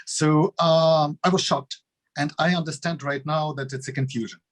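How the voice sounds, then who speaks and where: A man's voice: a little high, steady tone, normal speed. A man from Ukraine, a native Russian speaker with a Ukrainian accent, giving spontaneous panel remarks, searching for words. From Odessa, Ukraine. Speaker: guest panelist.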